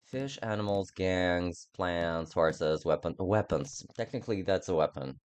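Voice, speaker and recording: Male streamer, very low voice, unhurried, halting and self-deprecating game chat. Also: smooth. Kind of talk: casual playthrough commentary.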